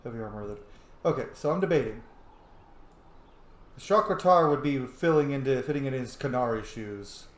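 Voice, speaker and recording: A man's voice: medium pitch, expressive, normal speed, pauses often. Man thinking aloud in a roomy space. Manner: thinks aloud, mutters choices to self. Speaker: video game streamer. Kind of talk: casual let's-play commentary. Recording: roomy echo, faint background noise.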